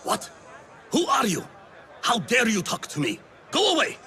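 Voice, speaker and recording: A man's voice: very high-pitched, wildly swooping pitch, measured pace, pushed and bright, shouting, full character voice. An actor playing a haughty, nervous, easily outraged man of standing in a video game, with an imperious manner. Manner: indignant, imperious. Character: haughty, easily outraged, nervous male slave-owning notable. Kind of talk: video game cutscene acting.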